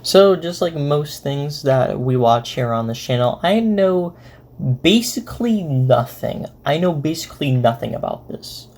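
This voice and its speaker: A woman hosting a casual reaction video, with rambling, repetitive, deadpan joking asides. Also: low voice, a little slow.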